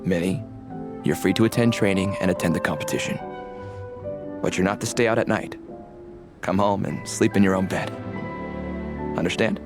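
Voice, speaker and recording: A man voicing a loving but firm middle-aged father in an anime game, with measured delivery. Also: sits low. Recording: music behind.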